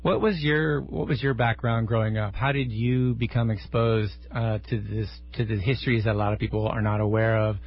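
Male radio host, chatty and thoughtful, husky voice, slightly dull lo-fi sound. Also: lowish voice, steady tone, a little fast, few pauses. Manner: conversational, thoughtful questions with filler words. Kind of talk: radio interview.

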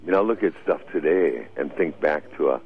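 An older man with a very low, husky voice tells meandering stories as a radio guest and laughs often. His voice comes through a narrow, tinny phone line. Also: animated, brisk. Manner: rambling, anecdotal, laughs often. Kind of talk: radio interview storytelling.

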